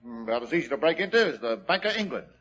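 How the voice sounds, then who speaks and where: An actor playing a folksy police constable in a 1940s radio drama. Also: male voice, mid-range voice, very animated, very fast, fairly bright tone. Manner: folksy, dropped letters. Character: chatty London police constable. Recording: dull old radio recording.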